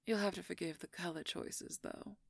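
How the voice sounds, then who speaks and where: A woman playing a smug, possessive royal werewolf schemer in an audio roleplay, silky and condescending, with a husky, breathy, creaky near-whisper. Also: lowish voice, steady tone, no room sound. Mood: smug, courting. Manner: silky, condescending, slow and deliberate. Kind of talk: audio roleplay acting, addressing the listener.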